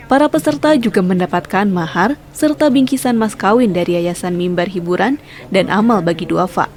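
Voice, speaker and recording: A woman's voice: mid-range voice, a little mellow. A female reporter with an Indonesian accent reading a scripted news voice-over.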